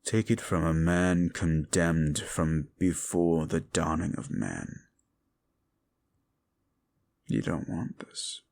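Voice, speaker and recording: Guy voicing a super deep gravelly demon, ancient and bitter, slow and scornful. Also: full character voice. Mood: somber. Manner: contemptuous, swings from hushed to shouting. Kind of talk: audio drama roleplay to a listener.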